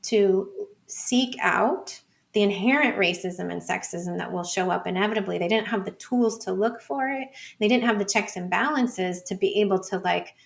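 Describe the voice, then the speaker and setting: Woman podcast guest chatting through ideas, kinda halting and informal, medium pitch. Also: vocal fry. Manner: informal, halting and discursive, often chuckling. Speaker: podcast guest, data and feminism scholar. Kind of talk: conversational interview, thinking aloud.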